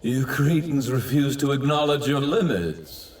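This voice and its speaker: An actor playing an arrogant, self-righteous villain god in an anime dub, declaiming in a grandiose, archaic and theatrical manner. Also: male voice, medium pitch, animated, a little slow, darkish tone, close to an everyday voice. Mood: grandiose, menacing. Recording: roomy, distant, faint effects noise.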